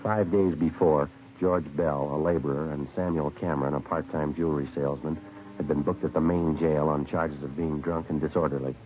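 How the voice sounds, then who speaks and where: An actor playing a terse, businesslike American police sergeant in a 1950s radio crime drama, clipped and matter-of-fact. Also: male voice, a bass voice, fairly even intonation, normal speed. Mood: deadpan, factual. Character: terse, businesslike male police sergeant narrating his case. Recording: dull, phone-like vintage radio recording, faint music.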